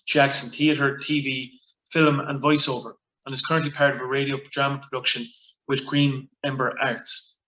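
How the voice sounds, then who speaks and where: A man's voice: mid-range voice, level tone, normal speed, sharp tone. A man with an Irish accent giving a formal scripted introduction as an event presenter. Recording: echoey room sound.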